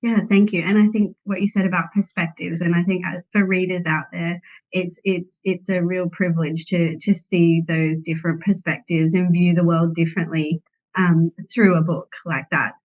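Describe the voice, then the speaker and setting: Aussie woman asking polite interview questions, slightly low voice, muffled recording. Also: flat delivery, darkish tone. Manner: polite, measured, with frequent "um". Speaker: library interviewer. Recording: dull lo-fi recording.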